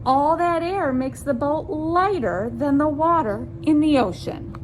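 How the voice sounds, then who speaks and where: A woman presenting a children's science storytime, reading aloud deliberately and speaking to young listeners as friends with simple questions. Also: high voice, lively intonation, a little hiss or hum.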